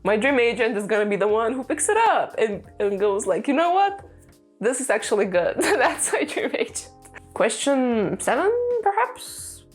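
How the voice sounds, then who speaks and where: A woman's voice: on the high side, very animated, moderate pace, faint music behind. A young woman whose first language is Lithuanian, chatting casually and laughing often as she answers a run of tag questions, with lots of "you know" fillers. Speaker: vlogger, aspiring author. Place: room with a creaky chair. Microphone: desk mic.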